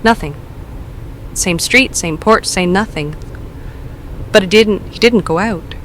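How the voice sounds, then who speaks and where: An actress playing a nervous woman caller who talks in a hesitant, halting, self-deprecating way. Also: medium pitch, a bit bright, a little hiss or hum, low-quality recording. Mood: uneasy.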